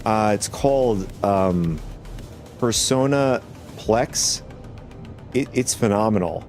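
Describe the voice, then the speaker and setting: A man with a raspy voice from a cold, chatting casually with viewers while gaming on a stream, rambling with many restarts. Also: fairly low pitch, expressive, slow pace, a little mellow, faint music behind.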